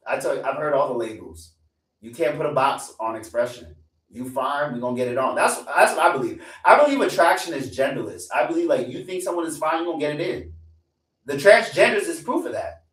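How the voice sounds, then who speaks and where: Young man, twenties, lively swooping pitch, emphatic profane live-stream rant, repeats lines, roomy echo, off-mic distance. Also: a little fast. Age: 20s. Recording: echoey room, away from mic.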